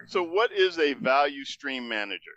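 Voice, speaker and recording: Chatty male host with a bright voice. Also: medium pitch, very animated, moderate pace, no room sound, highs cut off. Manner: chatty, laughs often, restarts questions. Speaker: podcast host. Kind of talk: on-location interview. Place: aircraft manufacturing facility.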